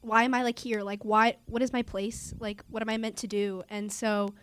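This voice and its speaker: A teenage girl on a student panel who rambles through her answer and leans on "like" constantly. Her voice is mid-pitched, and the sound is dry with no room echo. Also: little pitch movement, moderate pace, a bit bright, clear, resonant tone. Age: teens. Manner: rambling, filler-heavy talk with a lot of "like".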